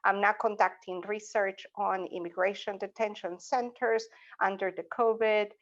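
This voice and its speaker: A middle-aged woman with a Salvadoran Spanish accent, introducing her academic work as a panelist in deliberate, halting phrases. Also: medium pitch, fairly even intonation, muffled recording. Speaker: panelist, associate professor. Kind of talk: semi-formal panel self-introduction.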